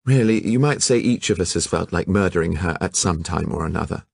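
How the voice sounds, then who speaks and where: A man's voice: sits low, fairly quick, creaky, breathy edge, no echo, exaggerated voice. A man voicing a composed woman painter who runs the studio, speaking firmly and reasonably.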